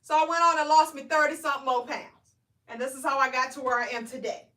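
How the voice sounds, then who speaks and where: A woman, coaching weight loss on a live stream in an energetic, preachy way, often asking "right?". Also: high voice, fast, roomy sound, recorded from a distance. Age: 40s. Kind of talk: live stream motivational talk.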